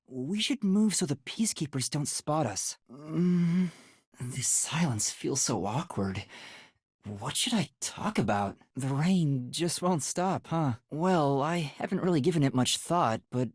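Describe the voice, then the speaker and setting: A man voicing an anxious, earnest young male detective in a video game, with a soft, light, boyish voice and a hesitant, polite delivery. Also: a little high, animated, a little slow, husky, no room sound, clean studio sound. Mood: curious, polite. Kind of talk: English video game voice acting.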